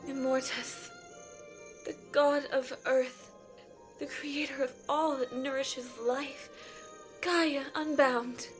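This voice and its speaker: Woman doing solemn regal council judge, high voice, slow formal delivery, music under it. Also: fairly even intonation. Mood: reverent. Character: solemn, commanding female judge of a fantasy council. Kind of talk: fantasy audio drama acting.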